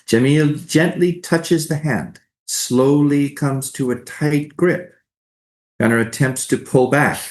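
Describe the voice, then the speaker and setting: A man's voice: fairly high pitch, slow. A man reading stage directions as scripted narration at a staged play reading.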